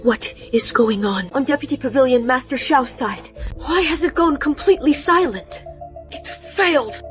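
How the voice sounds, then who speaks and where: Woman doing a worried sect lady, high voice, music under. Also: lively intonation, normal speed, fairly bright tone. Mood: alarmed. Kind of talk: anime English dub.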